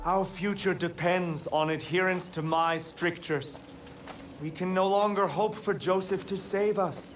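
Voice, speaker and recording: High-voiced, bitter young cult leader. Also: male voice, fairly even intonation, a little slow, a bit bright. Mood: stern. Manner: declamatory, indignant. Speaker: actor voicing a game character. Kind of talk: dramatic game cutscene acting. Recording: music under the voice. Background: game music.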